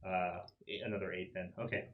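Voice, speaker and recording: A man explaining and asking about game details casually, in halting phrases, as a tabletop player. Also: low voice, little pitch movement, narrow, tinny audio, a little hiss or hum.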